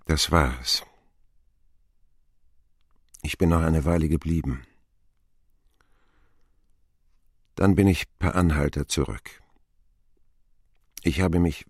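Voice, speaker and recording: An actor playing a grown man who recalls his boyhood in measured, reflective radio drama narration. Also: very deep voice, slightly rough, dry recording, studio quality, full character voice. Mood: subdued, wistful.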